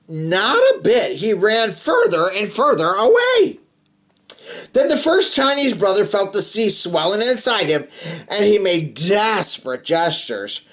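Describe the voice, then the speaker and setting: Animated man reading a storybook aloud. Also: high-pitched. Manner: playful, expressive, chatty asides to young listeners. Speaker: storybook reader, host of a read-aloud show. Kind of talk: animated read-aloud of a children's picture book with casual asides.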